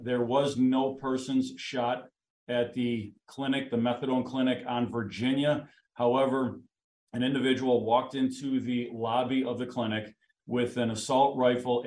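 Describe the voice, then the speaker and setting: A man with an American accent speaks in an echoey room at a medium pitch. He is a police commissioner making an unscripted statement, in formal official phrasing. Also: steady tone, measured pace, darkish tone.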